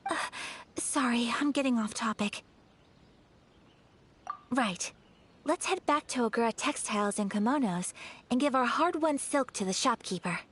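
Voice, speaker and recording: An actress playing a polite, earnest young noblewoman in a video game, speaking in a formal, measured and reserved way. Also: on the high side, fairly bright tone, a little hiss or hum, close to an everyday voice. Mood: modest, earnest. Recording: game music behind the voice.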